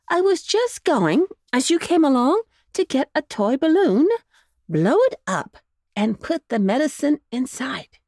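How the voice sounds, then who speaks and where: A woman's voice: high-pitched, darkish tone, dry recording, studio quality, pitched above the performer's own voice, full character voice. A woman voicing a worried mother dog in a storybook, fretful and sighing as she talks.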